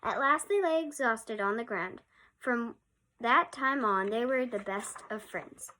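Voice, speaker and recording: A woman with a high voice reads a children's picture book aloud. Her storytelling is expressive, and she leans on important words by drawing them out. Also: measured pace, poor audio.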